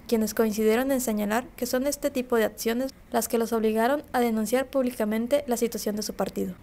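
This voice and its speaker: Woman, news reporter, formal scripted narration, fairly high voice. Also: a little hiss or hum. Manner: steady, formal reading.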